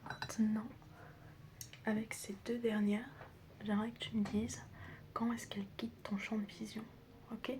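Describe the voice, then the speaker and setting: A woman's voice: on the high side, flat delivery, measured pace, pauses often. Whispering woman, teasing ASMR, noisy background. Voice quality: breathy whisper mixed with soft speech. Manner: teasing, chatty, familiar, addressing the listener directly. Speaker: ASMR creator role-playing as a doctor and best friend. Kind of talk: whispered ASMR roleplay, close personal attention. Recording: clear background noise with clicking sounds.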